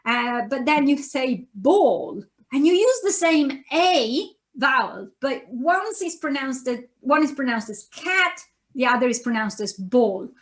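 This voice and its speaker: A woman with a clear Italian accent teaches a beginner class over a webcam from home. Her voice sits high, and she takes her time, spelling out each sound patiently so that learners can repeat after her. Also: animated, measured pace. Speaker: university Japanese lecturer. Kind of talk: recorded online lesson.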